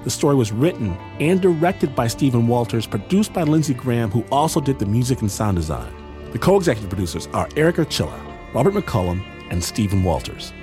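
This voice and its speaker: A male host with a very lively, swooping delivery reads a playful scripted sign-off. He is theatrical and emphatic, drawing out words, with music clearly playing underneath. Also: mid-range voice, a little fast. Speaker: podcast and radio host. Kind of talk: playful scripted radio outro narration.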